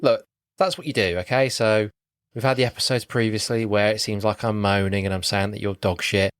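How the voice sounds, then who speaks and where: A man's voice: lowish voice, steady tone, a little fast, smooth, no room sound, clean studio sound. A man co-hosting a podcast in casual banter, grumbling and rambling as he talks. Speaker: podcast co-host. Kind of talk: casual film chat with banter.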